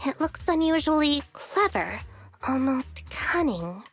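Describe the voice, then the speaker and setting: Game role: a thoughtful young policewoman, high soft voice. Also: sing-song, takes it slowly, soft background music, full character voice. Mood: curious. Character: curious, composed young female officer. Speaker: officer, Jingyi.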